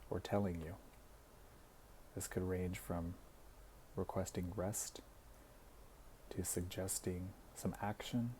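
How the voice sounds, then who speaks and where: A man leading a guided body scan meditation as an instructor, speaking in a gentle, soothing way with deliberate pauses. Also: low voice, slight background noise.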